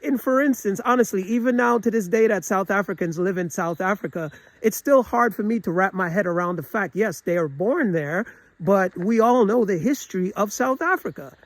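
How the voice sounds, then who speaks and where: High-voiced man rambling earnestly. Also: animated, moderate pace, dry recording, poor audio. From United States. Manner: earnest, rambling, with many restarts and filler phrases. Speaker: travel vlogger. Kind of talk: opinionated casual monologue.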